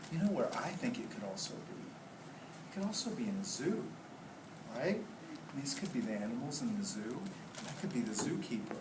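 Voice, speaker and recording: Guy teacher doing a read-aloud, super lively pitch, far from mic, noisy room. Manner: expressive, addresses children with questions. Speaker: teacher reading aloud to a class. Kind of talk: classroom read-aloud lesson, questioning and expressive storytelling. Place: indoor classroom. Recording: roomy, noisy classroom, distant mic.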